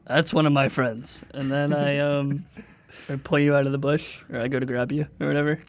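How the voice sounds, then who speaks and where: A man chatting and role-playing casually as a player in a tabletop game, with halting, deadpan phrasing. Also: fairly high pitch. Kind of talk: casual improvised role-play and chat.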